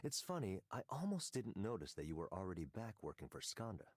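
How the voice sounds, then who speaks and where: An actor playing a calm, probing male tech executive in a voiced game, measured and quietly needling. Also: lowish voice, breathy edge, no room sound, studio quality. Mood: cool, probing. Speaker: game character.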